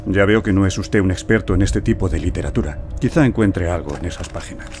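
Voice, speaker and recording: A man's voice: deep voice, fast, music in the background. An actor playing an aloof, serious French bookseller in his forties, formal and sardonic, in an audio drama. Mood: dry, sardonic.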